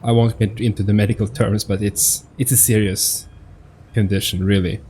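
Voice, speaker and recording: Male let's-player, lowish voice, casual and halting commentary with faint game ambience behind. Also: flat delivery, a little mellow, slight background noise. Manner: hesitant, searching for words, repeats himself. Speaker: gaming host, let's-player.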